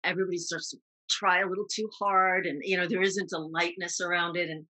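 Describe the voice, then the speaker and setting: Bright, husky American woman, clipped phrasing. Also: on the low side, level tone, a little fast. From New York, United States. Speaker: guest, actress recalling TV roles. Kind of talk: reflective interview conversation.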